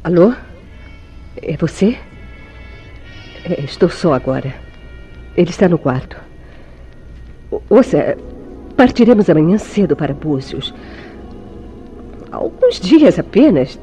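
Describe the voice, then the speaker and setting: An actress playing a secretive young wife in an old-fashioned Brazilian radio soap, with emotive, formal diction and a Brazilian accent. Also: female voice, lowish voice, very animated, slow, pauses often, dark tone, faint music behind. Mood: anxious, pleading. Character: secretive young wife, tender, anxious, sweetly deceitful.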